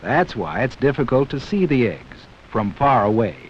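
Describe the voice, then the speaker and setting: A man narrating a scripted 1960s educational film in a measured, explanatory way, speaking directly to young viewers. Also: medium pitch, big pitch swings. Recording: dull, hissy 1960s 16mm film soundtrack.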